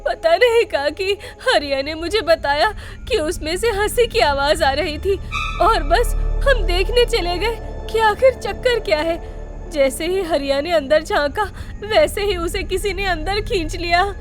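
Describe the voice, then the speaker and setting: A woman playing a high-pitched village wife. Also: expressive, unhurried, faint music behind. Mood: tearful, terrified. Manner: dramatic, emotive. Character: village wife, first sceptical, then distraught. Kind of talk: animated story character acting.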